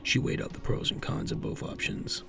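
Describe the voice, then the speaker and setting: A man narrating a scripted science fiction audio drama in a measured storytelling manner. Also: music in the background.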